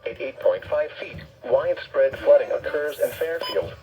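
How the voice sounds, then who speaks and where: A synthetic male announcer reading a scripted emergency weather bulletin in a flat, formal way through a weather radio speaker. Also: fairly high pitch, normal speed. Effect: played through weather radio speaker. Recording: dull radio speaker, faint background noise.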